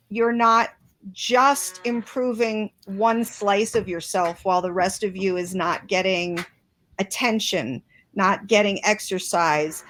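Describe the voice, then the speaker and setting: A woman's voice: medium pitch, fairly bright tone. A woman guest in an interview, speaking earnestly and reflectively with many restarts. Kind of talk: interview conversation.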